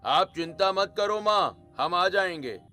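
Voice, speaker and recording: Man as agreeable middle aged dad-in-law, slightly high voice, respectful, family cartoon with music. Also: lively intonation, slow pace, pushed and bright, exaggerated voice. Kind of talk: Hindi animated family story acting.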